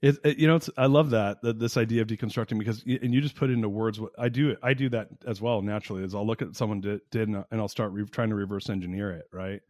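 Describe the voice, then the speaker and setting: A man in his forties hosting a podcast interview, talking in an excitable, casual way with frequent swearing and laughter. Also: on the low side, fast, few pauses, no room sound, clean studio sound. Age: 40s. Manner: excitable, profane, laughs often, animated. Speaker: podcast host.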